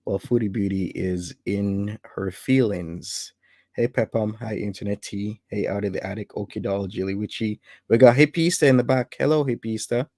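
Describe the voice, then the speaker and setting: Gravelly-voiced man in his thirties. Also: on the low side, fairly even intonation, moderate pace, dark tone, no room sound. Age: 30s. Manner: greets viewers by name in a list. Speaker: livestream host. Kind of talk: casual livestream chat.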